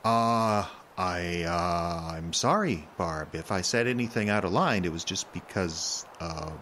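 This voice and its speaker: An actor playing a bumbling, slow-witted but well-meaning man in an audio drama, talking in a hesitant, halting, sheepish way. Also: deep voice, a bit bright, slight background noise. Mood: sheepish, confused.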